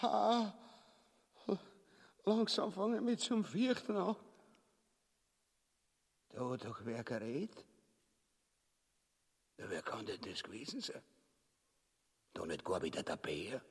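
Bloated tyrant buffoon, Austrian dialect, cruel and cowardly, pompous, very slow delivery, wildly varying pitch, grotesque theatre, echoing hall. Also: male voice, big pitch swings, husky, exaggerated voice. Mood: fearful muttering. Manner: pompous, bellowing and muttering, coarse. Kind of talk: grotesque stage comedy acting. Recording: echoey hall with long reverb.

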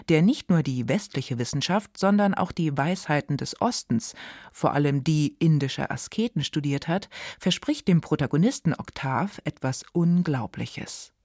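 A woman presenting a literary reading on radio, with scripted, lively and slightly wry delivery. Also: low voice, dry recording, clean studio sound. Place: dry studio.

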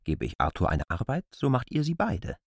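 A bossy stern stranger in a radio drama, brusque orders, really lively pitch swings. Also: male voice, fairly quick, gravelly, slightly rough, no room sound, studio quality, exaggerated voice. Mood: commanding. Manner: brusque, commanding, exacting. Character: K., stern imperious male stranger commanding his helpers. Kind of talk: literary radio drama acting.

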